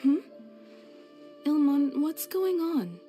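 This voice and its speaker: An actress playing a gentle, wistful wife and mother in a game, speaking softly and politely. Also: high-pitched, big pitch swings, slow, full character voice. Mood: concerned. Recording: faint music behind.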